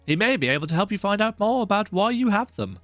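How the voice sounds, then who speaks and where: An earnest fantasy game hero, acted out by a man, speaks with dramatic urgency. The pitch sits high and moves in big, animated swings, with game music underneath. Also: fast talker, no room sound. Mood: earnest, persuading. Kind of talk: voiced game dialogue reading. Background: video game music.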